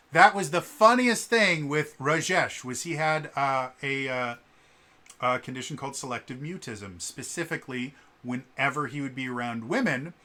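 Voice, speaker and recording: A man with a bright, forward voice talks casually to camera, and his stutter breaks in on words now and then. His delivery is halting, full of ums and uhs, with an earnest, indignant edge. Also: on the high side. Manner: halting, many fillers and repeated sounds. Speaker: vlogger. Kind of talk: casual spoken commentary to camera.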